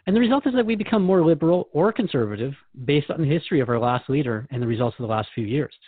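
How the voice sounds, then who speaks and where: A man's voice: a little high, expressive, very fast, no echo. A man hosting a radio show in an earnest, inquisitive, conversational manner, recorded dry and somewhat muffled.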